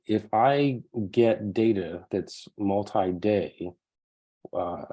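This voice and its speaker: A hoarse man asking technical questions in a casual call, relaxed and thinking aloud. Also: lowish voice.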